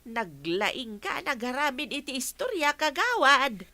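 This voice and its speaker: A woman's voice: high-pitched, very animated, measured pace, sharp tone, dry recording, full character voice. An actress with an Ilocano accent playing a teasing, gossipy village woman in a radio comedy-drama.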